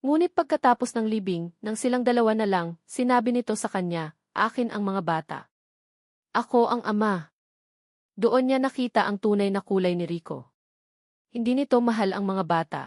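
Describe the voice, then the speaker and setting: A woman narrates a romantic drama in a scripted storytelling style. Her voice sits at a middle pitch, and the sound is dry and close, with no room around it. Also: lively intonation, a little fast, darkish tone, no echo.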